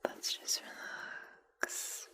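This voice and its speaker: A woman guiding a sleep hypnosis in a slow, soothing ASMR whisper close to the microphone. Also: bright, forceful tone.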